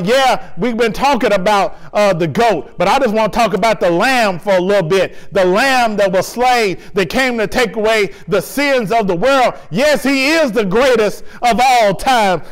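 A man's voice: sits high, low-quality recording. A man, a pastor, leading a sermon and communion liturgy in a church sanctuary with a lapel mic, with fervent, emphatic preaching and solemn prayer.

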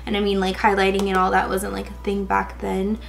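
Raspy young woman, chatty makeup tutorial. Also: mid-range voice, monotone, a little fast. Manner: casual, chatty, many fillers like "um" and "like". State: slightly hoarse from a cold. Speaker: beauty vlogger. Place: indoors, in front of a gold sequin backdrop.

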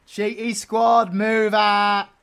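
An actor playing a cheeky, foul-mouthed British supervillain rogue in an audio roleplay, slangy and excitable in banter. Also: medium pitch, very slow. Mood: playful, bawdy.

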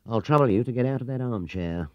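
A man puts on an oily British storybook salesman who can flip from fawning to curt. His pitch swings widely, on a dry, slightly dull cassette recording. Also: expressive, fast, mellow, no room sound, lightly acted. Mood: nasty. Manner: fawning, then curt and sneering. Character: oily coach-tour salesman who turns nasty. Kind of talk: storybook character reading.

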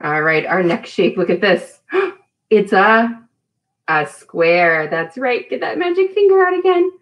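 A woman's voice: medium pitch, sing-song, a little slow, highs cut off. A woman in her thirties leading a children's storytime, speaking encouragingly and asking simple questions as a preschool teacher. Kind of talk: children's storytime lesson with songs. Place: small room at home.

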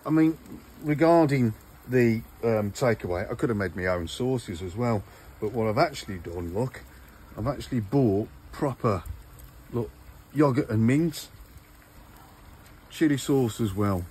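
A man's voice: medium pitch, expressive, a little slow, darkish tone, slightly rough. An older man hosting a casual outdoor cooking vlog, chatting to viewers as he cooks at a garden grill. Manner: chatty, talks to viewers while cooking, says "look" often.

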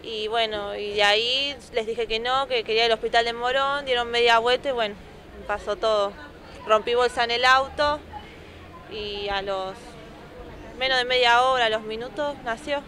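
A young Argentine woman in her twenties answers shyly and softly, leaning on fillers. Her voice is bright, sharp-edged and fairly high. She stands on a city sidewalk, speaking into a handheld microphone, with faint street noise behind. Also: normal speed, some chatter behind. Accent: Argentine Spanish. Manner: shy, soft, with many fillers. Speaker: new mother interviewed. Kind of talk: news street interview. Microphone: handheld reporter microphone.

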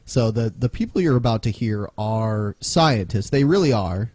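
A relaxed man hosting a podcast, talking casually and chuckling along with his co-hosts. His voice is mellow and warm, recorded close and dry on a podcast mic. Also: on the low side, moderate pace, husky, no room sound. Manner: relaxed, chatty, laughs easily. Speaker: podcast host.

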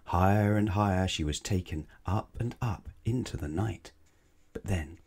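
Deep-voiced man reading a bedtime story expressively. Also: creaky, darkish tone, husky. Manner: dramatic, with stretched words and emphatic sound words. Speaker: children's storybook reader. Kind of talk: expressive read-aloud bedtime narration.

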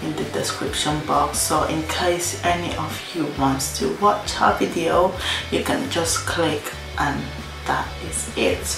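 A young woman whose first language is Spanish, chatting casually to her camera in a story-time vlog. She talks loosely, repeats her own phrases, and fills pauses with many ums. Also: low voice, gravelly. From Spain. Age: young adult. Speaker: vlogger. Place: indoor room against a plain wall. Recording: roomy echo, faint music.

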